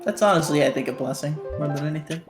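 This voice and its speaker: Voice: mid-range voice, fast talker, echoey room, music in the background. A co-commentator doing casual game commentary, teasing the others and laughing a lot.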